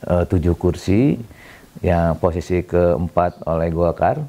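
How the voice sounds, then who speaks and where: A middle-aged man from Bandung, a city council member, explaining his work in a relaxed interview, with many fillers and self-corrections. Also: sits low, takes it slowly. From Bandung, Indonesia. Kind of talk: conversational interview.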